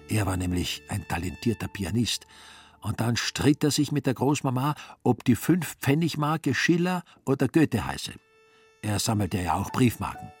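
A man reads literary, satirical narration for a radio drama. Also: mid-range voice. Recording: music behind the voice. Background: music.

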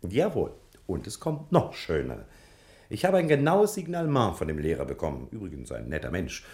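Pompous, wildly animated private detective. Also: male voice, low-pitched, normal speed, heavily acted. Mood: sly, triumphant. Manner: pompous, theatrical, chuckling, talks of himself in third person. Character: self-important American male private detective, boastful and slyly condescending. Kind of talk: mid-century German radio drama acting.

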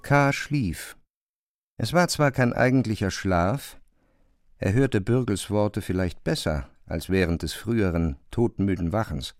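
A man narrating a literary radio drama from a script in a measured storytelling style. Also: on the low side, no room sound, studio quality.

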